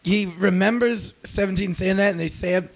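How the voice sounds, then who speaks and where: A man's voice: sits high, normal speed, gravelly. A man co-hosting a casual podcast, rambling with lots of fillers and laughs. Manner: rambling, filler-heavy, laughs while talking. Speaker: podcast co-host. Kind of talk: casual comedic chat.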